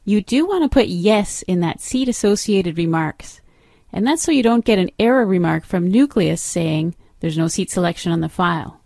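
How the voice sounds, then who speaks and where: A woman narrating an instructional software demo as a trainer, explaining step by step in an instructive way. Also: lowish voice, lively intonation, normal speed, no room sound, studio quality.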